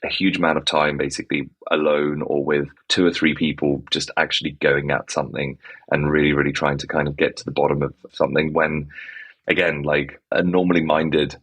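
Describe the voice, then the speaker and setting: Very deep-voiced man with an English accent. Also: little pitch movement, fairly quick, a bit bright. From Czech Republic. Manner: rambling, many fillers like "kind of" and "basically". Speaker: guest, startup founder. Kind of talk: conversational interview.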